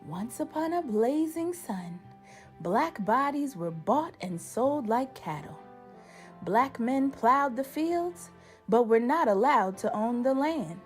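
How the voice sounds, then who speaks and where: A woman's voice: a little high, expressive, slow, soft background music. A woman voicing an elderly great-grandmother storyteller from a picture book, proud and solemn, with rhythmic, emphatic delivery.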